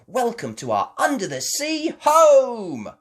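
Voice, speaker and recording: Excitable little pig girl, woman reading it out, high squeaky voice, jumps all over the place. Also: slow, heavily acted. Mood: delighted. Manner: bright, squealing, gushing.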